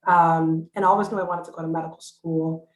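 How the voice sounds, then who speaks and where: A woman in her thirties from Anguilla, a guest telling her story in a relaxed, easygoing way. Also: deep voice, brisk, echoey room, low-quality recording. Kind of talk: conversational interview answer.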